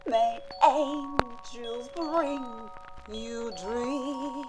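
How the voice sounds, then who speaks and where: A high female voice delivers a lullaby-like theme extremely slowly. Music plays clearly around it. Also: fairly even intonation. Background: music with chimes.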